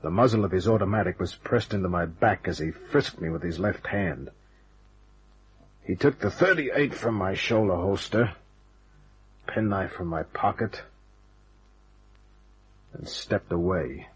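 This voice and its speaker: A man's voice: sits low, a little slow, pauses often, breathy edge, heavily acted. An actor playing a wry, tough private detective in a radio drama, wisecracking and hard-boiled, who also narrates his case. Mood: hard-boiled narration. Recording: dull, band-limited old radio recording.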